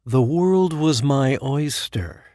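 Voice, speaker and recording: An actor playing a smug, stubborn dead business tycoon in an audio drama, with a wry, self-satisfied manner. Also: male voice, medium pitch, animated, slow pace. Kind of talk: first-person audio drama narration and dialogue. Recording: noisy background ambience, sometimes echoey.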